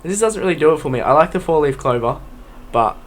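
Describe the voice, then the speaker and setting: A man's voice: fairly high pitch, lively intonation, fast. A man co-hosting a podcast, chatting casually in an informal, blunt and jokey way. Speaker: podcast co-host. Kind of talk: casual panel chat.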